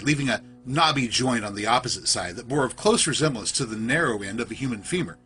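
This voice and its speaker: A man reading a scripted first-person horror story in a literary, measured, suspenseful storytelling manner. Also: mid-range voice, pushed and bright. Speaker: storyteller, podcast narrator.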